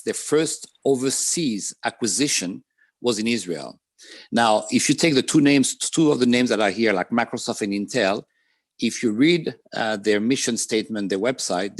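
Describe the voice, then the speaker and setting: A man from France with a French accent, presenting as a panelist on a video call, measured and pausing with "um" between points. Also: lowish voice, no room sound.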